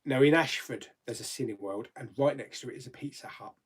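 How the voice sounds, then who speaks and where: A man's voice: mid-range voice, brisk, husky. A man hosting a casual live chat, rambling and reading out viewer comments, laughing easily and swearing mildly.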